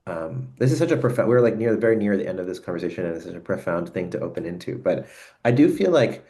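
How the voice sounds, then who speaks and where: Deep-voiced man, earnest and searching. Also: sing-song, fast talker, warm tone. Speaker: host. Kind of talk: reflective conversation. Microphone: video call.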